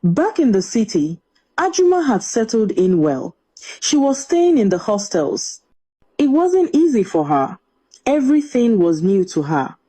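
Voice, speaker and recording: A woman storyteller narrates a scripted folktale. Her voice is mellow and sits at a medium pitch, and it rises and falls in a lively, dramatic way. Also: unhurried, husky, low-quality recording.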